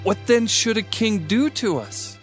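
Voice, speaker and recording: A man's voice: high voice, expressive, measured pace. A man playing the despairing, fearful people of Israel in a dramatized scripture recording. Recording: background music under voice. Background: music.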